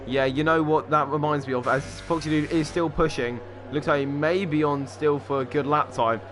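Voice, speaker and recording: A man commentating a sim racing qualifying session, calling laps quickly and excitedly, with offhand asides. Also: fairly high pitch. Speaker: race commentator.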